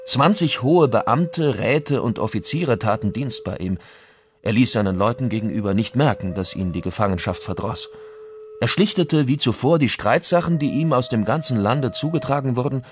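A man's voice: mid-range voice, natural voice. A man playing a Spanish conquistador who recounts events in a formal memoir for an audio documentary. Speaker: reader of historical quotations. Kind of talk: dramatic reading of old chronicles.

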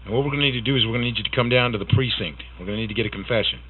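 A man's voice: medium pitch, racing, slight background noise, natural voice. A man playing a stern, accusing loss prevention manager in a prank phone call. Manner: accusing, intimidating. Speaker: prank caller.

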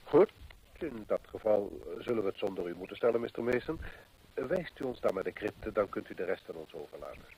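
A man's voice: fairly low pitch, big pitch swings, fairly quick, dark tone. An actor playing a shrewd, self-assured male detective in an old radio drama, speaking with confident, theatrical command. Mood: assured, deliberate. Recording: noisy vintage radio recording.

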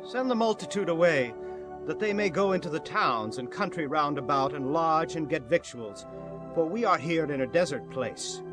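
A man acts an earnest disciple making an appeal in a Bible drama. His voice sits fairly high for a man, over clearly audible music. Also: lively intonation, normal speed, natural voice. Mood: concerned, urging.

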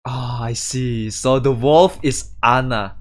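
A man streaming a visual novel playthrough, reading the text aloud with dramatic pauses and his own reactions. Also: medium pitch.

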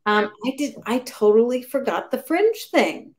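A woman's voice: fairly high pitch, very animated, normal speed, a little mellow. A woman in her thirties chatting casually and hesitantly about books on a video call, understated in manner. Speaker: book club co-host. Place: small room at home.

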